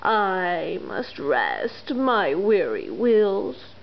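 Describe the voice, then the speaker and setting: A weary, rusty old male engine from a storybook drags out every word at a very slow pace, voiced by a woman. The sound is dull and lo-fi. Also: mid-range voice, very animated, vocal fry, heavily acted. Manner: tired, sighing, very slow.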